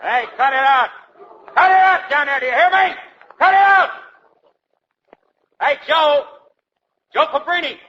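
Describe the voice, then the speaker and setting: A man's voice: very high-pitched, measured pace, pauses often. An actor playing a jovial, boisterous trucking boss who likes a drink, talking slangily in 1940s radio style and laughing at his own jokes. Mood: shouting. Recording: muffled, noisy 1940s radio recording.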